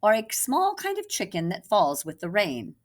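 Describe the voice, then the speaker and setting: A storybook wise man, played by a woman reading aloud, pompous and fussy. The delivery explains things grandly in rhyme, with very lively swings in pitch. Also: normal speed. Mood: knowing.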